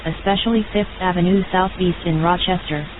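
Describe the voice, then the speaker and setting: A woman's voice: fairly low pitch, steady tone, fairly quick, phone-quality sound. A woman reading a scripted emergency announcement as an alert announcer. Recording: hissy, noisy alert audio.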